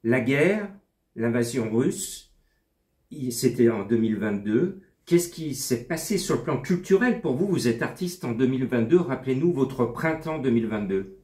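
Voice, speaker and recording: A man interviewing guests, asking lively, leading questions. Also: mid-range voice, moderate pace, reverberant.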